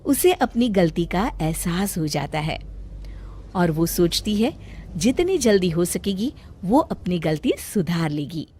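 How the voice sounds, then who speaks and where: A woman's voice: lowish voice, sing-song, a little slow, warm tone, slight background noise. A woman reading scripted storybook narration for a cartoon, as the storyteller.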